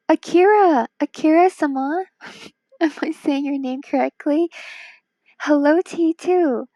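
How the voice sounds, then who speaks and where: Tired, high-voiced woman streamer, slow talk. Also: dark tone, no room sound. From Hong Kong. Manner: playful and teasing, slipping into whispers. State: tired, voice worn from a ten-hour stream. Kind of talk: casual live-stream chat while drawing.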